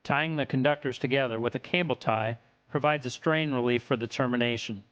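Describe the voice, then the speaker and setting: A man reads scripted technical narration for an instructional video in a measured, instructional way. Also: medium pitch, fairly bright tone, clean tone, no room sound, muffled recording.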